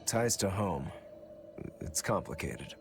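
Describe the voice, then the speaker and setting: Easygoing, wry game adventurer. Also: male voice, deep voice, animated, slow, exaggerated voice. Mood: relaxed. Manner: laid-back, dryly wry. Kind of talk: video game voice acting. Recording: music playing behind the voice.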